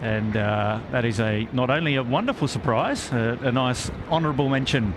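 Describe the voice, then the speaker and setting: A man's voice: mid-range voice, lively intonation, moderate pace, noisy background. An Australian man giving casual live commentary as the host of a plane-spotting stream. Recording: loud outdoor airfield ambience behind voice.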